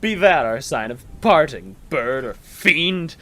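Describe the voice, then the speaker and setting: Man acting out an anguished man shouting in grief. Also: fairly high pitch, expressive, slow, pitched above the performer's own voice, full character voice. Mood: furious. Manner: theatrical, impassioned shouting and imploring. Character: anguished, grief-maddened man pleading with a demonic bird.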